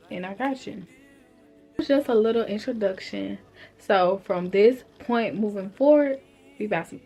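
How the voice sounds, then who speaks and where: Laid-back young woman, slangy vlog talk. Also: mid-range voice. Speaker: vlogger and hair stylist. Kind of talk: casual talking-to-camera vlog.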